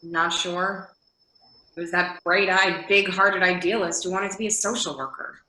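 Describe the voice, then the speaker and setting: A woman's voice: fairly low pitch, moderate pace, sharp tone. A woman playing a middle-aged, world-weary caseworker who is guarded but caring, in a readers theater scene. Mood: wry, softening. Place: indoor room on a video call. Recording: echoey room on video call.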